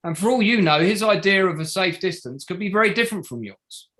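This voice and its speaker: Methodical, higher-pitched male barrister played by an actor. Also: expressive, sharp tone. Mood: pointed, critical. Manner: deliberate, formal, leading cross-examination. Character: pointed, methodical male barrister for bereaved families. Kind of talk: courtroom drama table read.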